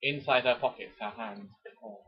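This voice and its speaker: A man with a husky voice doing an amateur mock-dramatic reading of a romance novel, with chatty asides. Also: medium pitch, normal speed. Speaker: amateur narrator. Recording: dull lo-fi home recording.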